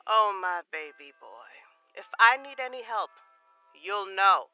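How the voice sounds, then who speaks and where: A woman's voice: medium pitch, animated, unhurried, sharp tone, smooth, lo-fi sound, faint music behind. An actress playing a doting older mother in an audio drama, affectionate and playfully bossy. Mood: affectionate, teasing.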